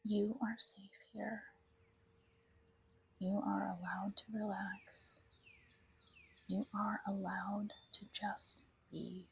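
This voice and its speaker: A woman leading a guided meditation in a soothing, breathy voice that often drops toward a whisper. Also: medium pitch, flat delivery, takes it slowly, pauses often, a bit bright.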